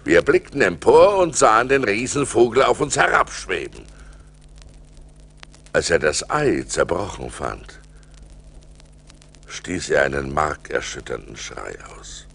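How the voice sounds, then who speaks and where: An actor playing a seafarer who recounts his perilous adventures in a theatrical radio play style, moving between hushed and urgent. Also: low-pitched, very animated, a little slow, gravelly, pauses often, breathy edge, exaggerated voice. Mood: grave. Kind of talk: dramatic radio play narration. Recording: vinyl record transfer with music behind.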